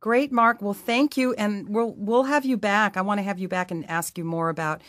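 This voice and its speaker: A woman's voice: medium pitch, normal speed, a bit bright, no room sound. A woman hosting a casual podcast interview, asking questions conversationally and clarifying things for listeners.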